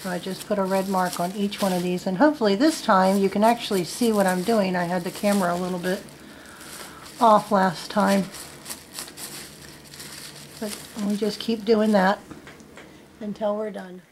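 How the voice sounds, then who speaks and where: A woman's voice: medium pitch, steady tone, moderate pace, pauses often, slight background noise, poor audio. A middle-aged woman giving a casual, plain-spoken step-by-step demonstration at a table indoors. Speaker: farmer giving a how-to demonstration. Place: indoors at a wooden table in a home.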